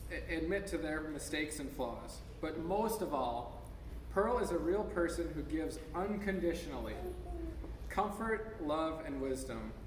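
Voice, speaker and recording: Higher-voiced American man in an echoey hall. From United States, Minnesota. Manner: informal, stumbles over words now and then. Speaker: speaker at a memorial service. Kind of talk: reading an essay aloud to a gathering. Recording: echoey hall from far off, noisy.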